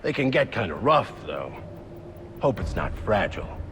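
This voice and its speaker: A tough interrogating cop, low and lively in pitch, casually threatening and taunting. The game scene puts long reverb on him. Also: male voice, normal speed, noisy background. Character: tough, goading male cop leaning on a suspect. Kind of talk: video game voice acting. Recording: long reverb, music behind.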